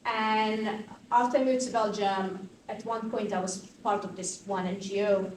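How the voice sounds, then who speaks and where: A woman from Croatia in her thirties, a fashion activist answering interview questions in an animated, thinking-aloud way with many fillers. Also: mid-range voice, a little slow. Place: conference venue. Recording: distant mic in an echoey room with faint noise.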